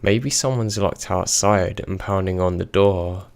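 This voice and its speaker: A man narrating a horror story in a slow, measured, even reading. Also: deep voice, dry recording.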